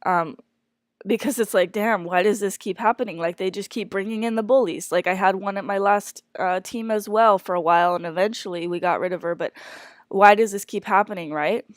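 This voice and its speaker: A woman's voice: medium pitch, fairly quick, no echo, clean studio sound. A congested woman candidly talking through a stressful work situation, hesitant and full of fillers and restarts. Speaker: speaker sharing a personal work situation. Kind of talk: candid personal monologue.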